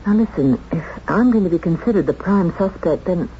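A muffled seventies radio recording carries an anxious, proud woman played by an actress. Her voice sits lowish and swings widely as she pleads and challenges, earnest and dramatic throughout. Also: animated, fairly quick, close to an everyday voice. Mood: upset. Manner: dramatic, earnest, pointed questioning. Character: anxious, proud engaged woman wrongly suspected of murder. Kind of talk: 1970s radio drama acting. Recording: dull, noisy 1970s radio drama recording.

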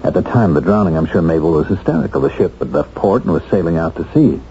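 An American actor playing an earnest husband determined to clear his name in a 1970s radio drama, speaking deliberately with weighted pauses. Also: sits low, lively intonation, mellow. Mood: intent, suspicious. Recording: muffled, noisy 1970s radio broadcast.

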